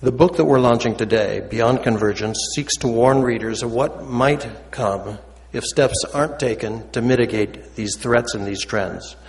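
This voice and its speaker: Man giving formal, measured opening remarks, hall reverb. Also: medium pitch. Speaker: book co-editor, event opener.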